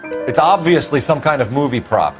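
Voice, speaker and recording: An actor playing an earnest, polite but firm American police detective in a video game drama, questioning in a formal, measured and insistent way. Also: male voice, a little high, lively intonation, over music, natural voice. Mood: formal, probing.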